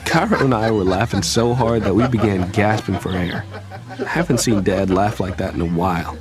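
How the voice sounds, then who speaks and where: An actor playing a cheeky young student son and brother in an audio drama, playful but sincere, with reflective first-person narration. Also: male voice, on the low side, normal speed, lightly acted. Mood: reflective, warm. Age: young adult. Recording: music prominent behind voice.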